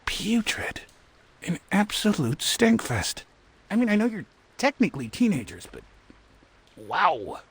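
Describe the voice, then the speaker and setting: High, raspy, excitable scientist. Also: male voice, unhurried, pauses often, heavily acted. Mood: appalled. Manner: expressive, wordy, dramatic. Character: excitable, fussy scientist, eager and easily appalled. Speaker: voice actor in a game cutscene. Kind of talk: animated cartoon game acting.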